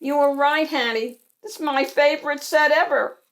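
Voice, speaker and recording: Woman as a high, whiny, fussy little boy animal. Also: steady tone, moderate pace, fairly bright tone. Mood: pleased. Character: fussy perfectionist young boy animal, easily upset.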